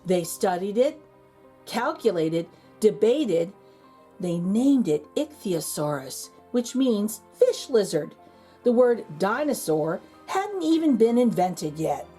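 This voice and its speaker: A woman reading a children's picture book aloud as a storyteller, expressive, with dramatic emphasis and pauses. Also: medium pitch, husky, faint music behind. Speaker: read-aloud host and storyteller.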